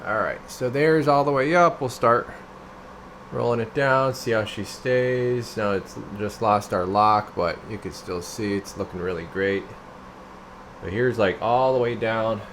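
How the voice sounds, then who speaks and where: A man's voice: fairly low pitch, measured pace. A man casually narrating an unscripted technical demo, muttering and thinking aloud as he works. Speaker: radio technician demonstrating a CB radio. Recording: noisy room, steady hum of equipment.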